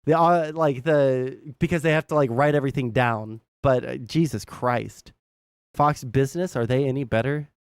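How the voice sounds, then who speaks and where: A man's voice: mid-range voice, moderate pace, clean tone, dry recording, clean studio sound. A young American man giving casual, rambling, blunt political commentary as a livestream host, swearing freely. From southern United States.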